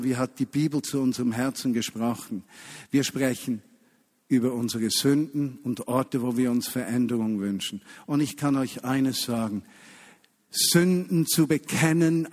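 A man preaching a sermon to a congregation, emphatic, stretching key phrases and dropping to a hush. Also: mid-range voice. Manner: emphatic, with slow drawn-out key phrases and hushed drops. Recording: long hall reverb.

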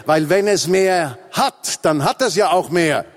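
A man's voice: fairly high pitch, animated, measured pace. A Swiss man in his forties with a Swiss German accent, preaching a humorous sermon with emphatic repetition. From Switzerland. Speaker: pastor.

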